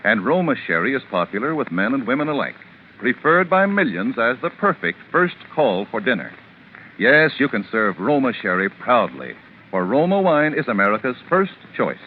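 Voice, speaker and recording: A man's voice: medium pitch, sing-song, moderate pace. A man announcing a 1940s radio commercial in a polished, emphatic sales style. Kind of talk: 1940s radio advertisement read. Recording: narrow, faintly noisy old radio recording.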